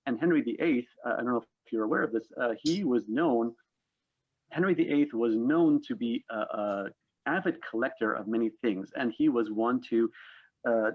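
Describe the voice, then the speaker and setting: A man's voice: mid-range voice, normal speed, slightly rough, phone-quality sound. A man hosting a panel discussion and explaining history, repeating words as he builds toward his point. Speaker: panel host.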